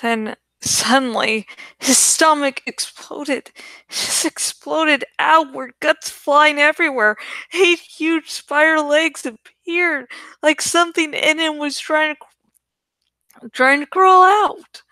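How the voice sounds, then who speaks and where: A woman narrates a novel with a fairly high, bright voice. She takes her time and acts out the story expressively, recorded close and dry. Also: takes it slowly, no room sound. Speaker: audiobook reader. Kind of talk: dramatic first-person novel narration.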